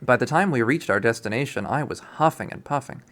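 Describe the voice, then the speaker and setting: A man reading a visual novel aloud as first-person narration, in a casual, expressive style. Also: mid-range voice, a little fast. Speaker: visual novel readthrough host.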